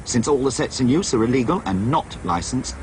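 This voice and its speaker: A man's voice: medium pitch, expressive, a little fast, darkish tone, a little hiss or hum, poor audio. A British man reporting in scripted documentary narration. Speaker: television reporter.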